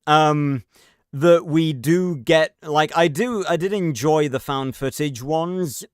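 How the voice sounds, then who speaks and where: Guy streamer chatting thru reactions, rambly n sweary, slow pace, smooth close dry sound. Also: fairly high pitch, dry recording. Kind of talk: casual livestream reaction commentary.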